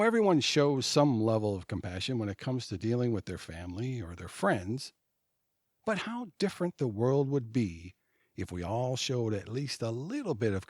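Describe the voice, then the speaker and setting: A man's voice: mid-range voice, dry recording, clean studio sound. A man presenting an audio theatre show, reading a scripted introduction.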